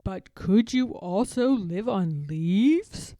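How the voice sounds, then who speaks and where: A woman voicing a kindly old grandfather bear from a storybook, a retired sea captain who speaks with reassuring, drawn-out emphasis. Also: medium pitch, expressive, warm tone, no echo, studio quality. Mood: explaining, kindly.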